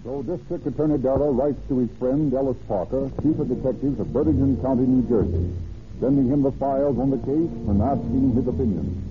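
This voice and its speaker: An actor narrating an old radio crime drama in a formal, dramatic storytelling manner. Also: male voice, medium pitch, animated, brisk, close to an everyday voice. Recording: tinny old radio recording with music.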